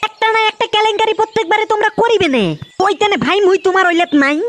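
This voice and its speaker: A performer voicing a bossy, foul-mouthed young man in a comedy cartoon, with a North Bengal accent and a shrill voice. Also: rapid-fire, a bit bright. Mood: scolding. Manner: foul-mouthed, scolding, rustic. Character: Tepu, bossy scolding older-brother friend, young man.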